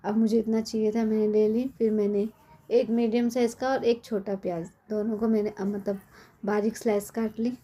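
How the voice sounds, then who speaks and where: Hoarse woman chatting through a recipe. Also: medium pitch, level tone, moderate pace, mellow. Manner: chatty, explains step by step with frequent tag questions. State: hoarse from a cold. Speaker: cooking channel host. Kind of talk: casual cooking tutorial. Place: home kitchen at the stove.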